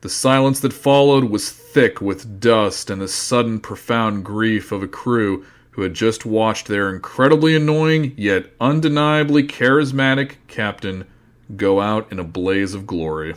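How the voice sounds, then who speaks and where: A man's voice: fairly low pitch, measured pace, natural voice. A man playing the narrator of a mock-heroic sci-fi audio drama, with grand, dramatic scripted delivery. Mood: solemn. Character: grandly dramatic male storyteller of a mock-heroic space saga.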